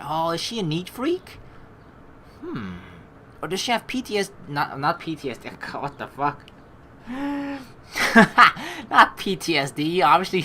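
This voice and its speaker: A young woman reading a visual novel aloud with joking, swearing asides, animated and quick to laugh. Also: lowish voice, a little hiss or hum. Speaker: let's play streamer reading a visual novel.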